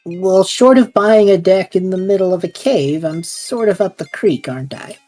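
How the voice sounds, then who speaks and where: A woman's voice: medium pitch, moderate pace, dark tone, faint music behind, natural voice. Treff, a sarcastic traveler, voiced by a woman. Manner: sarcastic, incredulous. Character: Treff, a bewildered young male traveler. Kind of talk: fan audio drama acting.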